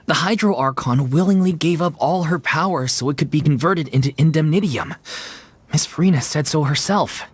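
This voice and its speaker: An actress playing a caring, sensible young troupe member who speaks with gentle concern, in a video game. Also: female voice, low voice, normal speed, breathy edge.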